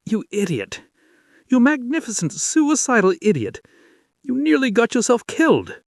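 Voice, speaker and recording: A man's voice: very high-pitched, wildly swooping pitch, measured pace, vocal fry, no room sound, clean studio sound, pitched above the performer's own voice, heavily acted. A man voicing a fierce, anguished king in love in a dramatic story, impassioned and pleading. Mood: shaken, scolding.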